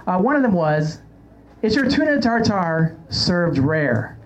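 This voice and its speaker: A man's voice: high-pitched, big pitch swings, takes it slowly, crowd noise. A man hosting a podcast with casual comedic chat and anecdotes in a joking, self-mocking manner. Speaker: podcast host. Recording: slightly dull, lo-fi sound.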